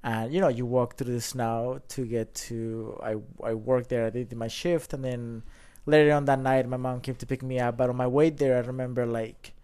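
A man in his thirties from Colombia, a podcast guest telling his story in a loose, animated way with many fillers. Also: medium pitch, moderate pace, hardly any pauses, clean tone. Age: 30s. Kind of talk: casual storytelling chat.

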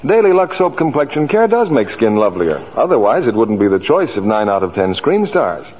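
A man's voice: mid-range voice, sing-song, fairly quick. A man announcing a 1940s radio commercial in a courtly, salesmanlike scripted manner. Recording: tinny 1940s radio recording.

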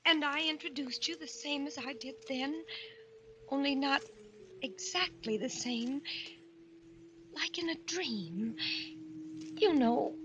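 An actress in an old-time radio drama playing a fretful, naive wife recounting an eerie dream. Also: female voice, high voice, slow, pauses often, background noise. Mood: dreamy, uneasy. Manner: chattering, anxious, dreamy storytelling. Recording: muffled vintage radio recording with music.

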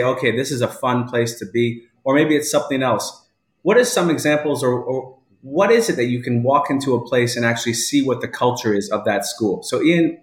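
Male host, casual live chat show, mid-range voice, echoey home room. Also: medium pitch. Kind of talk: casual live online talk show hosting. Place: indoors at home. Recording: roomy home echo.

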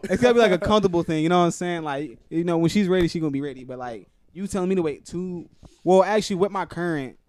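A man in his twenties on a casual podcast, his voice sitting a little high. He talks animatedly and laughs through his own stories. His swearing flows freely in the loose banter. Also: fast, darkish tone, dry recording. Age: 20s.

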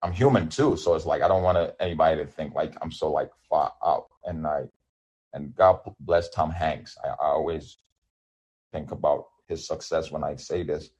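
A man's voice: very deep voice, normal speed, darkish tone, breathy edge, muffled recording. A man in his thirties whose first language is Yoruba, an independent musician talking loosely in an interview with lots of restarts and fillers. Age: 30s. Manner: rambling, many restarts and fillers. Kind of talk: casual interview.

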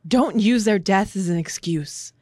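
A man's voice: measured pace, darkish tone, no room sound. A man voicing a blunt, sardonic young woman soldier in a raised, dry and mocking tone. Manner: low-key, dry and mocking.

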